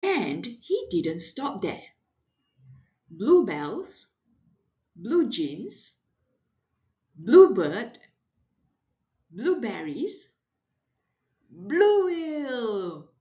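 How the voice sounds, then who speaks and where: A woman reading a children's storybook aloud with expressive, deliberate delivery and dramatic emphasis. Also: fairly high pitch, dull audio. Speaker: parent reading a picture book aloud. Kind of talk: animated children's storybook narration.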